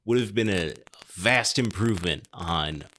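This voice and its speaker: Male podcast host, lowish bright voice, emphatic and wry, casual movie chat. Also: expressive, unhurried, slight background noise. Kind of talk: casual film discussion chat.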